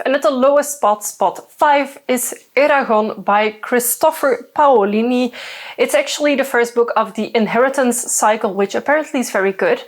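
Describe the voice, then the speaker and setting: Young woman, native Dutch speaker, for a casual book chat full of asides, slightly higher voice. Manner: chatty, many fillers and asides. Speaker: book reviewer. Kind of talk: casual book review talk.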